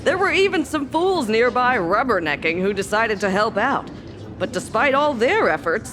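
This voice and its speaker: A woman's voice: fairly high pitch, noisy background, lightly acted. A woman voicing a tired, sarcastic hospital doctor in a game, dry and world-weary. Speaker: game character. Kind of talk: game dialogue. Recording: game audio with music underneath.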